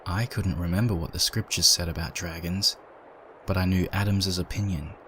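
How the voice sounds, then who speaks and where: A man with a deep, low voice narrates a dramatic first-person story. He reads from a script, with noticeable ambient sound behind him. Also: fairly even intonation, normal speed, sharp tone, slight background noise.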